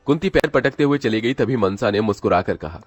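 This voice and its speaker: A man's voice: on the low side, animated, fairly quick, no echo, lo-fi sound, soft background music. A man narrating a scripted dramatic story as its storyteller.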